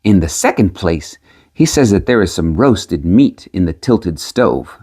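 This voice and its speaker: A man voicing a sly peasant trickster in a storybook, with a measured, cunning delivery. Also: low voice, very animated, warm tone, breathy edge, voice pushed down, heavily acted. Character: sly male peasant trickster selling prophecies.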